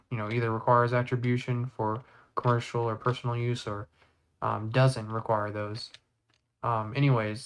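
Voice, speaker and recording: A man hosting a casual software tutorial, thinking aloud step by step as he works. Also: mid-range voice. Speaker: tutorial host.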